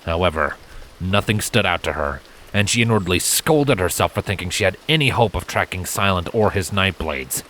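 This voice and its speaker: A man's voice: low voice, expressive, moderate pace, a bit bright, slight background noise, rough recording. A man narrating a fantasy story in a dramatic, scripted storytelling style. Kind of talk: dramatic fan fiction narration. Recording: rain sound effects behind voice. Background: rain ambience.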